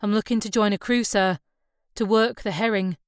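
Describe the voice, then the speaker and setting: A woman's voice: mid-range voice, steady tone, moderate pace, clean tone, no echo, studio quality, natural voice. A woman voicing a shy young island fisher lad, deferential and hesitant, eager for work, in an audiobook. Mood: nervous, eager. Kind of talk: audiobook character dialogue.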